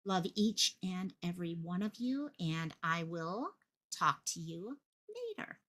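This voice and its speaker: A woman's voice: on the low side, lively intonation, a little slow, a bit bright. A woman hosting her own online channel, speaking with passion, repeating phrases for emphasis and projecting her voice the way a stage actor does. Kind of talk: impassioned livestream commentary.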